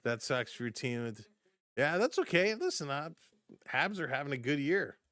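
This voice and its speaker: Hoarse-edged man calling hockey play-by-play. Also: a little high, very animated, a bit bright, no room sound. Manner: brisk play-by-play, chatty asides to viewers. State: voice getting hoarse. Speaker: fan stream commentator. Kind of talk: live play-by-play and chat reactions.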